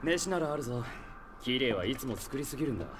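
A cocky young male demon hunter from a game cutscene, played by a man. He talks rough and blunt, with lively swings in pitch. There is clear background noise with vehicle ambience. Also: fast, close to an everyday voice. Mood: casual, offhand. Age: young adult. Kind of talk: Japanese video game cutscene acting.

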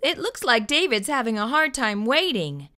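Middle-aged woman, children's storytime host, highish bright voice, expressive read-aloud, exaggerated emphasis, lapel mic indoors. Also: moderate pace. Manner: expressive, asks the listener questions, exaggerated emphasis. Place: small furnished room indoors. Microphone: lapel microphone.

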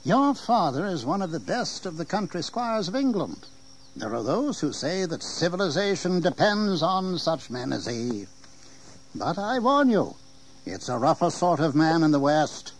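A snobbish, genial colonial governor for a period audio drama. His high male voice swings widely in pitch as he talks down in patrician fashion. There is faint noise on the recording. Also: big pitch swings, a little slow. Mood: genial, patronizing. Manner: patrician, condescending, dismissive. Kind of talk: historical audio drama acting. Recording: noisy 1980s audio drama tape.

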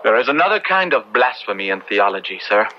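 A man's voice: on the low side, big pitch swings, brisk. A man playing an earnest, principled young clergyman in an old radio drama, speaking formally and with passion. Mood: troubled, earnest. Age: young adult. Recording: muffled, faintly noisy old radio recording.

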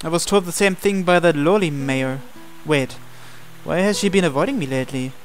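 A man's voice: on the high side, moderate pace. A young man reading a visual novel's narration and dialogue aloud as the host. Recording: music behind the voice. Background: game background music.

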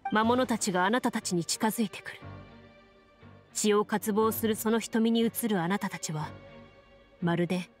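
Actress as calm game master lady, mid-pitched, measured fantasy narration, music under it. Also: natural voice. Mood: solemn, composed. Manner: measured, solemn storytelling. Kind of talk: scripted narration.

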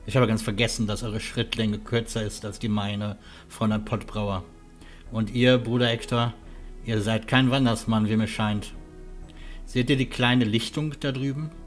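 A man playing a courteous, earnest huntsman guide with a halting manner in a fantasy audio drama. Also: fairly low pitch, steady tone, soft background music, natural voice. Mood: polite, apologetic. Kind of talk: German fan audio drama acting.

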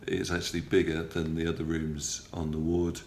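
A middle-aged man talks in a very deep, gravelly voice, halting and matter-of-fact, pausing often on ums and uhs. He has a cold and sounds a little stuffy. The camera-close recording from a small room is roomy, with a faint hum behind him. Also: completely flat delivery. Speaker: patient video blogger. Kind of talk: casual video diary. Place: small hospital room, indoors. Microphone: phone or camera close up, front-facing.

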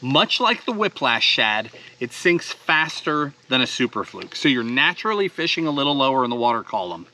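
A man's voice: medium pitch, big pitch swings, moderate pace, hardly any pauses, pushed and bright, low-quality recording. A man in his thirties, an enthusiastic fishing host explaining gear step by step while sitting on a boat on a lake outdoors. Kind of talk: instructional fishing talk to camera.

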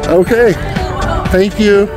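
Higher-voiced chatty American man, music behind. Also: fairly even intonation, slow pace, a loud crowd. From Oklahoma, United States. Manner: friendly, chatty with strangers. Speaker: travel vlogger. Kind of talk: casual walking-tour chat. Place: outdoors, walking through a mountain town. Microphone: handheld phone or camera.

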